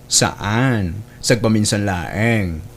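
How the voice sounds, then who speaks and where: A man acting a low-voiced office colleague. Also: very slow. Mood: friendly. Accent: Filipino, Ilocano. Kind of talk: Ilocano audio drama acting.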